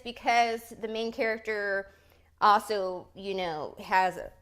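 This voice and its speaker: A woman's voice: medium pitch, a bit bright. Chatty upbeat woman book host. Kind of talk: casual chat and book reading.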